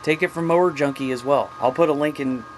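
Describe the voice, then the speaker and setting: A man's voice: a little high, quick pace, background noise. A man giving a casual, unscripted hobby vlog in a plain, folksy, mildly salty way. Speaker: hobbyist video host.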